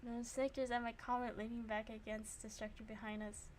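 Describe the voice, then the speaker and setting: A woman's voice: on the high side, flat delivery, fairly quick, a little mellow, slight background noise. A young woman streamer giving casual game commentary and reading narration aloud, self-deprecating and free with swearing.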